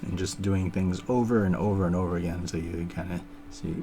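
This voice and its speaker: A man with a very low, gravelly voice talks through his painting on a live stream. He thinks aloud in a relaxed, easygoing way and chats with his viewers as he works. Music plays clearly behind him. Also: a little fast. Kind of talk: casual painting demonstration. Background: music playing.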